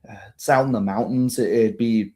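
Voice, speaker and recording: Casting: man as worried noblewoman of a fantasy game, mellow and dark in tone, formal, halting, urgent. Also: medium pitch, steady tone, close to an everyday voice. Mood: worried, pleading. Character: anxious, proper noblewoman baroness, worried for her town.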